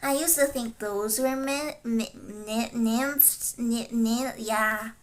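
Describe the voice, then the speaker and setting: A teenage girl with a somewhat high voice chats slowly and loosely on a study livestream, trailing off into fillers and restarting her sentences. Her first language is Chinese, and she talks into a desk mic at her computer. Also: fairly even intonation. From Philippines. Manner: rambling, hesitant, full of fillers and self-corrections. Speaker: vtuber streamer. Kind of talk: casual livestream chat while studying.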